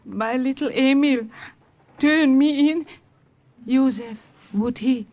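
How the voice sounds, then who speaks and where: An actress playing a gentle, worried elderly grandmother in a 1950s radio drama. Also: high voice, takes it slowly. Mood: sorrowful. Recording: dull, lo-fi old radio recording.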